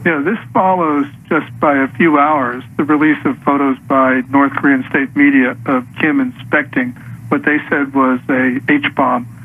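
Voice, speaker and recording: A man's voice: mid-range voice, fairly even intonation, a little slow, slight background noise. Man analyst over a tinny phone line. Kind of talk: live news commentary. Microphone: telephone.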